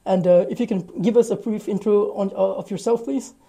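A man hosting a casual interview, asking friendly questions in a halting way with many fillers, filmed outdoors on a rooftop terrace on a phone held at arm's length. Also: sits high, steady tone, gravelly, mellow. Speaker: interview host.

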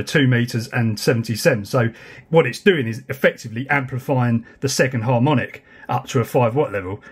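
A man's voice: mid-range voice, husky. A man, explaining tech in a casual, chatty vlog style. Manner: informal, chatty, hands-on explaining. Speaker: amateur radio YouTuber.

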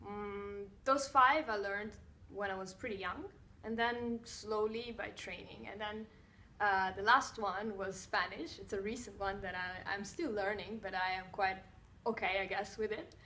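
A woman in her twenties from the part of Switzerland, answering fan questions to camera in a composed, measured way with small pauses. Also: mid-range voice, steady tone, pushed and bright, a little hiss or hum. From Italian-speaking Switzerland. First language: Italian and Russian. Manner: composed, measured, slight non-native phrasing with filler pauses. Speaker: blogger and TV presenter. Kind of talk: direct-to-camera Q&A. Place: bright indoor room with wooden floor, seated in chair. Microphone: camera a few feet away.

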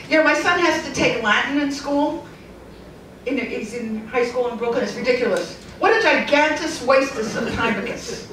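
A woman's voice: high-pitched, a little hiss or hum. Wry woman comic in a roomy club. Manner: wry, deadpan punchlines with lively asides. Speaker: stand-up comedian. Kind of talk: stand-up comedy set. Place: comedy club stage, audience laughing. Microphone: handheld mic. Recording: roomy club sound from a far camera.

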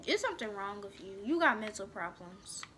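A woman's voice: mid-range voice, sing-song, a little fast, bright, forceful tone, slight background noise. A young woman casually commenting on a video game at home, bickering with her brother and swearing a lot. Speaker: gaming vlogger.

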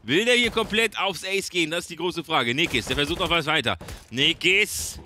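A man's voice: on the high side, normal speed, bright, forceful tone, slight background noise. A man casting a game alone as play-by-play commentator, chatty and addressing viewers as friends. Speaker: esports caster. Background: game gunfire and clicks.

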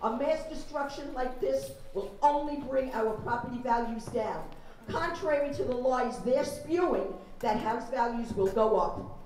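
A woman's voice: a little high, measured pace, a little mellow. A woman giving an emphatic prepared public comment as a resident, heard from across a large gymnasium. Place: large school-style gymnasium, public meeting. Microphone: handheld PA heard from far camera. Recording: very echoey gymnasium, distant, audience noise.

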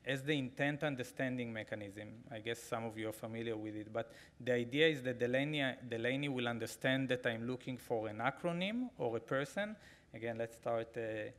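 A man's voice: mid-range voice, a bit bright. Israeli man at a conference podium. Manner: halting, many fillers and restarts. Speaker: conference keynote speaker. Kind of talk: keynote presentation with live demo. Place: large conference hall, at a podium. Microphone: podium microphone.

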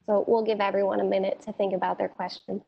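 A woman's voice: medium pitch, flat delivery, fast, vocal fry. A woman moderating a virtual town hall from a home office, reading out student questions and explaining steadily. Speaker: dean and moderator. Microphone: video call on a laptop. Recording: dull, muffled video call.

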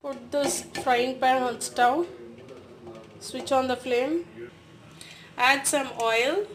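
A woman's voice: high-pitched, extremely slow, slight background noise. A woman, giving step-by-step recipe instructions as a voice-over in a home kitchen. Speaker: cooking channel host.

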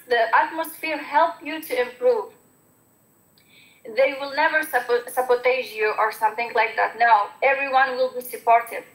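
A woman's voice: high-pitched, fairly bright tone, reverberant, low-quality recording. A young Algerian woman with an Algerian accent, giving earnest, encouraging advice on a video call, with many fillers and restarts. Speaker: former exchange student giving advice. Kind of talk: online panel talk.

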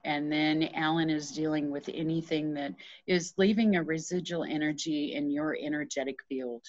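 A middle-aged woman speaking earnestly as an interview guest, explaining energy healing in casual conversation with pauses. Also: low voice, steady tone, dry recording. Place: small room at home. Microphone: video call, shared device at arm's length. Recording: dull, muffled video-call audio.

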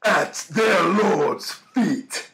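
Slow gravelly cartoon monster villain, wrathful and gloating, big swooping delivery, echoey with music. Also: male voice, sits high, animated, crowd noise, heavily acted. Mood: wrathful, preaching. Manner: grandiose, menacing, drawn-out declaiming. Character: ancient vengeful male monster villain, theatrical, wrathful and gloating. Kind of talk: theatrical villain acting in an animated trailer. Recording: echoing voice over music.

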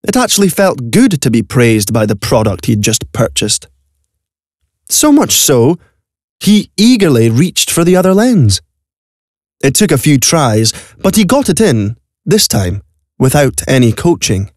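A man's voice: medium pitch, sing-song, measured pace, darkish tone, no echo. A man narrating a scripted story in a dramatic storytelling style, recorded in a studio.

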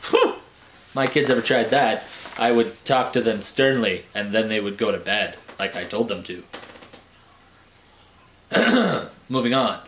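A man's voice: lowish voice, lively intonation, a little slow, pauses often, fairly bright tone. A man in his forties reading picture books aloud as a bedtime storyteller, playful and theatrical, with chatty conversational asides. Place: small furnished room at home, indoors. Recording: roomy home recording with clear background hiss.

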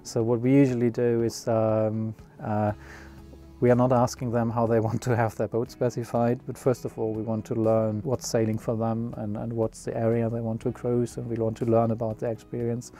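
A man's voice: medium pitch, completely flat delivery, moderate pace, dark tone, faint music behind. A middle-aged man with a German accent, talking casually about sailboats with many fillers and restarts. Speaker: yacht builder CEO. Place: outdoors on a sailboat cockpit.

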